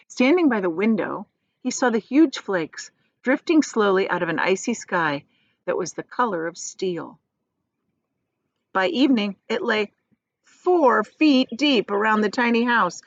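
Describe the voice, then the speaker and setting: A woman reading a children's novel aloud as a teacher would, in expressive storybook narration. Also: medium pitch, no room sound, muffled recording.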